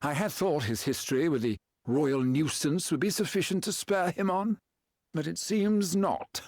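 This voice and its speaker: An actor playing a pompous, decadent game villain with a sneering, florid delivery. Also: male voice, a little high, moderate pace, a little mellow, dry recording, clean studio sound. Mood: scheming. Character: pompous, decadent villain, bullying and self-indulgent. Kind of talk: dramatic video game voice acting.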